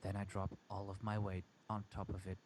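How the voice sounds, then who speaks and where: A man reading a first-person horror story aloud in a halting, dramatic way. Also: low-pitched, monotone, noisy background. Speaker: amateur story reader.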